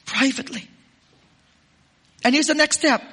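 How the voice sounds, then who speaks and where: A man preaching a sermon as pastor, moving from loud urging to soft, close asides. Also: extremely high pitch, measured pace.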